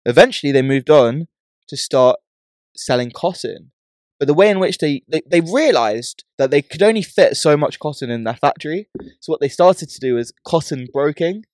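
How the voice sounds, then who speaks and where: A man's voice: fairly high pitch, expressive, moderate pace, a little mellow, dry recording. A man co-hosting a podcast, telling stories in a chatty, emphatic way with frequent restarts. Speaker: podcast co-host. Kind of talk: casual chat.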